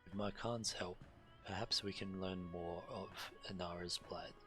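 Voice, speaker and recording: A man's voice: low voice, fairly even intonation, unhurried. A man streaming a game and reading quest text aloud. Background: game music.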